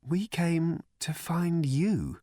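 A man voicing a composed woman who talks quietly and seriously. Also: mid-range voice, sing-song, brisk, no echo, clean studio sound.

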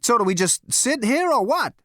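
A man voicing a cocky, indignant, excitable man in a brash, exclaiming way, in an audiobook. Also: high voice, fairly quick, no room sound, clean studio sound, pitched above the performer's own voice, exaggerated voice. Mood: angry, defiant. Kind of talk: audiobook character voice.

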